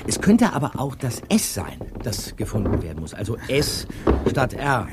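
A teenage boy detective in an audio drama, matter-of-fact and dryly teasing. His intonation moves around a lot, and there is loud noise in the background. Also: medium pitch, wildly swooping pitch, moderate pace. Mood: matter-of-fact, wry. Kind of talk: German audio drama acting.

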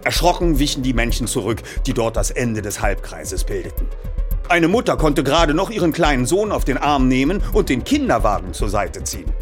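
A man's voice: mid-range voice, a little fast. A man reading a story aloud as a dramatic, scripted narration. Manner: animated storytelling with acted dialogue. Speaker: audiobook narrator. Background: music accompaniment.

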